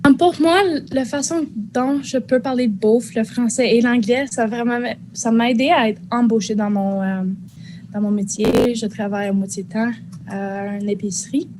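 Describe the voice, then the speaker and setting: A teenage girl from northern Ontario, a high school student speaking casually and hesitantly, with many fillers. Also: normal speed, noisy background. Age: teens. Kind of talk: spoken testimony.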